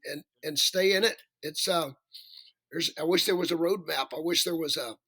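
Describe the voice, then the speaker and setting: A male singer with a raspy voice, chatting as a podcast guest, rambling and self-deprecating with many restarts. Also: sits high, pushed and bright. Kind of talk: casual interview chat.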